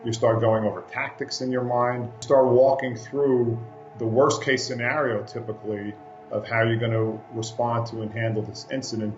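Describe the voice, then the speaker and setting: A man's voice: mid-range voice, flat delivery, moderate pace, soft background music. A man, a former police officer, explaining as an expert in an interview. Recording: echoey room, slightly dull video-call sound.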